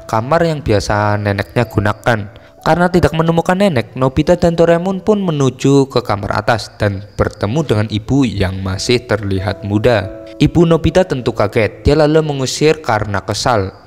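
An Indonesian man narrating a story recap in a casual scripted style, with colloquial, teasing asides. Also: mid-range voice. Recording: long reverb, music behind. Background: music.

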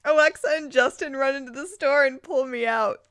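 A woman reading a story aloud informally, breaking in with expressive, amused reactions and exclamations. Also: sits high, fairly quick, no room sound. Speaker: host reading fan fiction aloud with commentary.

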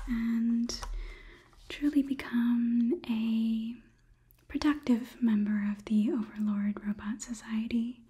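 A woman in her twenties softly whispering in a breathy, calm, clinical ASMR roleplay as a polite, condescending robot overlord examining a human. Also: a little high, slight background noise. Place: small indoor studio room. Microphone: close ASMR microphone.

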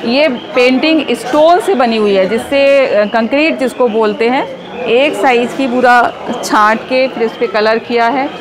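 A middle-aged woman explaining her handmade products at an exhibition stall, unhurried, mixing Hindi with English. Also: on the high side, rough recording. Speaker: startup owner showing products. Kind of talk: casual product walkthrough at a stall. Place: indoor exhibition hall stall. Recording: busy expo crowd behind.

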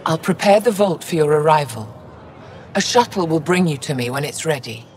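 An actress playing a grave, dignified older alien woman scholar, speaking in a measured, formal way in a video game. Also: low-pitched, animated, slight background noise. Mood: solemn. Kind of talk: dramatic game voice acting. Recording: music under the voice.

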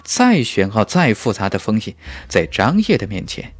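Animated low-voiced male audiobook narrator. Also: normal speed, husky, no room sound, soft background music. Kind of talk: scripted dramatic fantasy novel narration.

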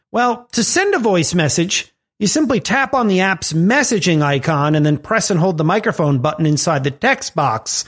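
A man hosting a tech and marketing podcast, reading a scripted segment with lively rising and falling emphasis. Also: high voice. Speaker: podcast host.

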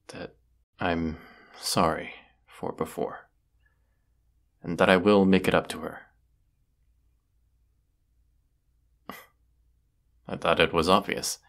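A man playing a proud, brooding, sardonic wizard of the woods in a scripted audio roleplay, speaking haughtily and deliberately. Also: sits low, gravelly, a bit bright, heavily acted. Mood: regretful, guarded.

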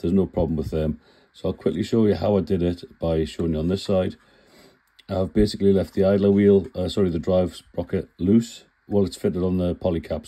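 A man's voice: deep voice, mellow. A man with a cold, chatting plainly through a scale model build and often correcting himself. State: full of cold. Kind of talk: casual build tutorial.

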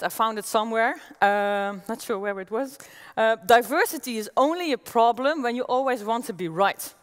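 A woman in her forties with a Dutch accent, giving an energetic conference keynote on a stage, talking through a headset mic. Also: on the high side. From Netherlands. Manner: energetic, gestures as she walks the stage. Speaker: keynote speaker. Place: large auditorium stage with audience. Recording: long reverb of a large hall.

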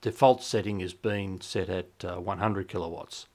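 An Australian man narrating an instructional software walkthrough in a measured, explanatory manner. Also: deep voice, steady tone. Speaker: shortwave radio hobbyist, presenter.

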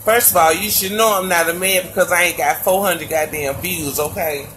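A woman with a deep voice, ranting profanely and stammering over a phone video call. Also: fairly even intonation, normal speed, a bit bright, a little hiss or hum. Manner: stammering, restarts often. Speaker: live stream call-in guest. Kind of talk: profane casual rant. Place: indoors, lying on a couch.

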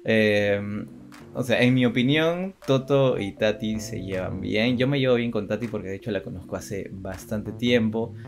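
A man's voice: lowish voice, normal speed. A young man co-hosting a channel, talking in casual unscripted chat. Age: young adult. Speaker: co-host of a two-person channel. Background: background music.

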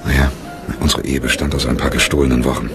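An actor playing an embittered, haunted and defiant soldier turned theatre leader in a radio play. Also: male voice, very deep voice, level tone, fast, vocal fry, over music. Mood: bitter recollection. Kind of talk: German radio play acting.

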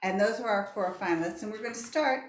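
A woman's voice: mid-range voice, fast. An older woman hosting an event with unscripted introductions. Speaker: event host. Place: indoors, large parlour with fireplace. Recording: roomy echo.

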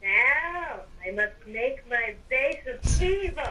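Higher-voiced woman on noisy game chat. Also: animated, takes it slowly, pushed and bright. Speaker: gamer playing an evil character in roleplay. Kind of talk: casual improvised roleplay over game chat.

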